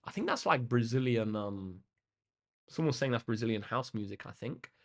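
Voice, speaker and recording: A man's voice: mid-range voice, moderate pace. Laid-back young man chatting. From England. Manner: relaxed and chatty, with frequent ums and uhs. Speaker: maths tutor hosting a livestream. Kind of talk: casual chat answering live comments. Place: indoor room facing a big window.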